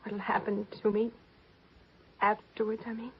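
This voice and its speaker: A woman's voice: medium pitch, level tone, normal speed, close to an everyday voice. An actress playing a frightened, innocent eighteen-year-old stowaway girl with a plaintive manner, in a 1950s science fiction radio melodrama. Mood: subdued fear. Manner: plaintive, breathy, childlike pleading. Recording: dull, noisy 1950s radio recording.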